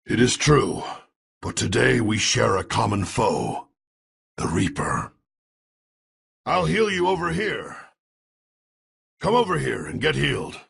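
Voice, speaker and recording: A man's voice: medium pitch, very animated, takes it slowly, gravelly, pauses often, fairly bright tone, husky, full character voice. A man acting a grim, noble, weary soldier in a video game, with a grave theatrical delivery. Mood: resolute. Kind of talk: dramatic video game voice lines.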